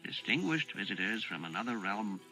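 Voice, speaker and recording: An earnest, distracted dwarfish man with a beard mutters soft musings under his breath, voiced by a man reading aloud. The voice stays bright and tense, with faint music underneath. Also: fairly low pitch, very animated, normal speed, pitched below the performer's own voice, low-quality recording.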